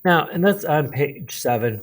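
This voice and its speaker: A man teaching a lesson, explaining a writing task step by step, with pauses and repeated phrases. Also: on the high side, lively intonation. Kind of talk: instructional lesson, modelling a writing task aloud.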